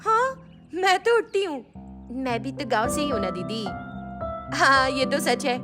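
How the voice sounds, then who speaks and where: A woman's voice: high-pitched, animated, unhurried, pushed and bright, heavily acted. A woman voicing naive, cheerful young village daughters-in-law who speak guilelessly and respectfully in a storybook tale. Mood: chirpy. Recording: music behind the voice.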